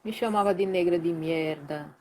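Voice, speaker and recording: A woman in her late thirties from Recife with a Pernambuco accent, telling a story in a lively, colloquial way full of slang during a casual live chat. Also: fairly low pitch, normal speed, slight background noise. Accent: Pernambuco Portuguese. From Recife, Brazil. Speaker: guest, sound professional.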